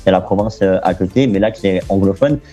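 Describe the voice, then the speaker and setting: A young man from France, a guest talking casually in an interview, relaxed and chatty with lots of fillers and restarts. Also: deep voice, quick pace, faint music behind.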